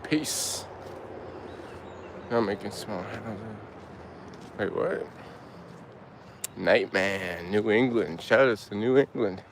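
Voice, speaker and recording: Slow, low-voiced young man streaming outdoors. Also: slight background noise. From Turkey. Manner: laid-back, answers chat aloud, halting restarts. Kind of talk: casual live-stream chat while walking in woods. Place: outdoors in woods.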